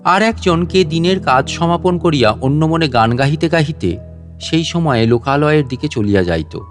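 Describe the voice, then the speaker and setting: A man narrating a literary story in a measured, expressive way, with deliberate pauses between phrases. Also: a little high, soft background music. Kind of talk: dramatic literary story narration in first person.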